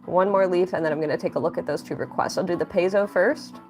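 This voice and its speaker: A woman's voice: lowish voice, fast, darkish tone, faint music behind. A young woman livestreaming her drawing, chatting casually and upbeat, thanking viewers and reading their messages aloud. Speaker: livestreaming artist.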